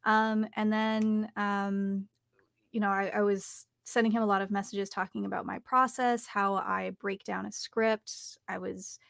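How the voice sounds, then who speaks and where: A woman's voice: medium pitch, flat delivery, a little slow, creaky, a bit bright, dry recording, studio quality. A young woman talking casually as an interview guest about her voice acting work. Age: young adult. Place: small home recording studio, indoors.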